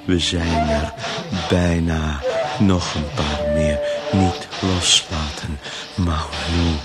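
A man acting in an avant-garde radio drama as an obsessive, weary inner voice, urging a story onward in halting, insistent, repeated fragments. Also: a bass voice, steady tone, loud music behind the voice, exaggerated voice. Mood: urgent, striving.

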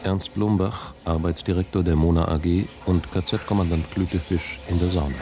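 Casting: sober scene announcer for radio play; low register with gravelly fry. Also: male voice, fairly even intonation, normal speed. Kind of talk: scripted radio drama announcements.

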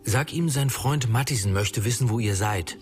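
A woman's voice: extremely low pitch, normal speed, darkish tone, a little hiss or hum. A woman in a German radio drama playing an insistent questioner who presses for information. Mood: probing.

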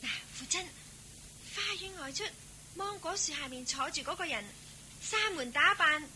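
A woman's voice: high voice, expressive, moderate pace, pushed and bright, slight background noise, heavily acted. An actress playing an earnest, admiring young daughter in a Hong Kong Cantonese radio drama, speaking in a girlish way.

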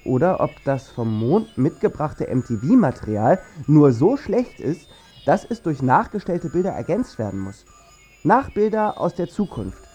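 A man's voice: on the high side, big pitch swings, unhurried, dark tone, slightly rough, soft background music, full character voice. An actor playing a man who sceptically questions things in a scripted radio drama, in an inquisitive, rhetorical manner. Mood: questioning, sceptical. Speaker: radio play speaker.

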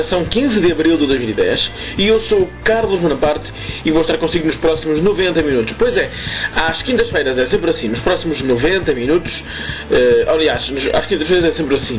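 Hoarse European Portuguese male radio host, fairly high voice, chatty and rambling, faint music underneath. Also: expressive, fairly quick, poor audio. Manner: rambling, self-correcting, chuckling asides. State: hoarse from smoke. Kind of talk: casual radio show chat.

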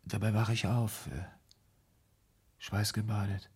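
An actor in a 1970s German radio play, playing a troubled, brooding man confessing his fear of madness in halting, dramatic storytelling. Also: lowish voice, fairly even intonation, darkish tone, breathy edge, full character voice. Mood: haunted, fearful.

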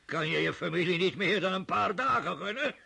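An actor playing a stern, mocking older aristocratic father in a radio drama, with a husky voice and scornful laughs. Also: high voice, expressive, fairly quick, pushed and bright, exaggerated voice.

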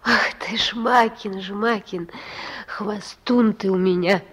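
An actress playing a tender, patient young mother in a Soviet radio drama, soft and gently teasing with her sweetheart. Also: medium pitch, fairly even intonation, takes it slowly, breathy edge. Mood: fondly teasing. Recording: noisy old Soviet radio recording.

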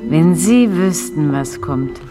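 An actress in a radio drama playing a grave harbinger of death who declaims ominous prophecies. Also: female voice, low-pitched, lively intonation, takes it slowly. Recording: loud music behind the voice.

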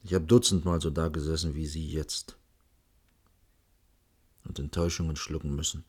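Casting: pragmatic middle-aged chief architect, low voice, stern and world-weary, radio-play dialogue. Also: male voice, level tone, darkish tone, breathy edge. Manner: measured, firm, admonishing. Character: stern, disillusioned male senior architect, pragmatic boss. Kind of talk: German radio drama dialogue.

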